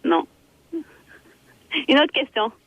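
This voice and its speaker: Halting woman caller on a muffled phone line. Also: high-pitched, creaky, a bit bright, no echo. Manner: halting, repeats words, bluntly provocative. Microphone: telephone.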